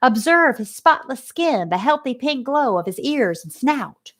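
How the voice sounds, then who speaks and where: A woman reading aloud and voicing a pompous male fair loudspeaker announcer with a grand, booming delivery. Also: big pitch swings, measured pace.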